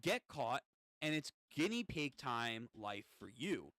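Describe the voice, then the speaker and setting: Manga witch role for a male reader: self-satisfied, coolly threatening, measured lines, recorded dry. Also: medium pitch, very animated, sharp tone, dry recording, heavily acted. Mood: smug, threatening. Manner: smug, measured.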